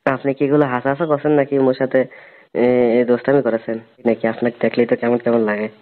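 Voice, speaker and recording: A man's voice: medium pitch, steady tone, fast talker, close to an everyday voice. A man playing a hesitant but eager young brother-in-law in a staged phone-call drama, asking probing questions. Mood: curious, hesitant. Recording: tinny narrowband phone line.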